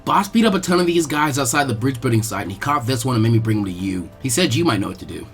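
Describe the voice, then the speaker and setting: A man voicing a brash, mischievous teenage ninja clone boy in an animated fan-fiction reading. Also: mid-range voice, quick pace, soft background music, pitched below the performer's own voice. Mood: matter-of-fact.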